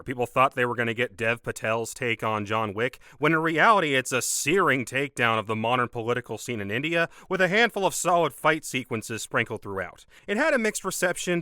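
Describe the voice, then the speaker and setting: Hoarse man reviewing films, lively pitch. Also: dry recording. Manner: measured, opinionated delivery. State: hoarse from a cold. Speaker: film critic and channel host. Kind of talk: scripted movie review roundup.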